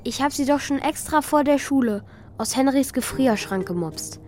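A secretive school-age child tells the story in a children's radio play. The voice is high and youthful, with music running clearly underneath. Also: female voice, expressive, normal speed, darkish tone. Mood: confiding. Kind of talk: children's radio play, first-person narration and dialogue.

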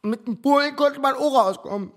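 A man playing an excitable, grumbling refugee craftsman in a radio drama, colloquial and blustering. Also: very high voice, a little fast. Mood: indignant.

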